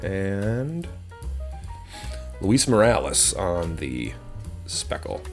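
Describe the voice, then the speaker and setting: A man with a slightly hoarse voice casually chatting as he opens card packs on his channel, relaxed and rambling with frequent ums. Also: low-pitched, drawn-out words, faint music behind. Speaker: host of a card-opening channel. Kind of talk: casual chat while opening card packs.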